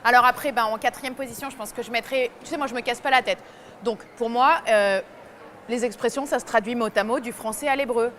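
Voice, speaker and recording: A young woman with a bright, high voice tells comic stories on a talk-show panel, laughing as she goes. Her delivery is animated and chatty. A faint hiss sits under the sound. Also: fairly quick. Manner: lively storytelling, laughing often. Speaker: columnist.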